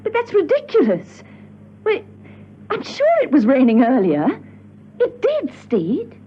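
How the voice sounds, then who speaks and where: An actress playing a poised, curious woman agent in a classic spy radio drama, crisp and inquisitive. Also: high voice, wildly swooping pitch, unhurried, heavily acted. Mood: puzzled, intent. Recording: dull radio recording, faint noise.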